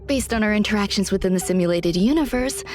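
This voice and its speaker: A woman playing a poised, secretive scientist in a video game, coolly polite, with formal, precise and measured speech. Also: mid-range voice, darkish tone, natural voice. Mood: calm, formal. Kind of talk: scripted video game character dialogue. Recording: music under the voice.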